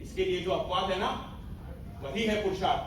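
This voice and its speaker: Casting: male actor as high-voiced, authoritative army major, principled and emphatic, stage play, recorded far off in an echoing hall. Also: normal speed, lightly acted. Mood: calm, firm. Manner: authoritative, emphatic, mixes in English orders. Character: commanding, principled Indian army major guarding a prisoner. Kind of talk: theatrical Hindi stage acting. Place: stage of a hall at a student festival. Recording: echoey hall, far mic, faint noise.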